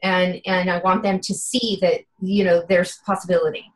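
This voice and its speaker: A woman's voice: on the low side, flat delivery, normal speed, low-quality recording. A woman in her fifties, a guest in an interview, speaking earnestly and from the heart with many "you know" fillers. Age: 50s. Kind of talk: casual interview chat.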